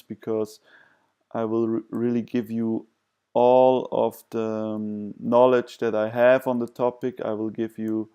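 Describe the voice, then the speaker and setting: A man who is not a native English speaker gives unscripted personal advice in a hesitant, halting way, pausing and repeating words. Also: medium pitch, mellow, clear, resonant tone. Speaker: video presenter sharing personal advice. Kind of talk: unscripted advice talk to camera.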